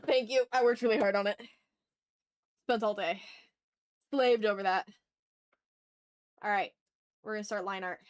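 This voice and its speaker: A woman chatting casually as she hosts an art livestream, talking to her viewers in an animated, slangy, teasing way with some swearing. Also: mid-range voice, moderate pace, long pauses. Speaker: livestream host and artist. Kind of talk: casual art livestream chat, reading and answering chat messages.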